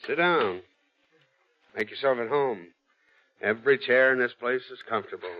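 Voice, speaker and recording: A muffled, lo-fi radio drama recording carries a cocky male private eye played by an actor. He talks in slangy, flippant wisecracks at an ordinary male pitch, easygoing and flirtatious. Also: normal speed, close to an everyday voice. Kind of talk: old-time radio detective drama acting.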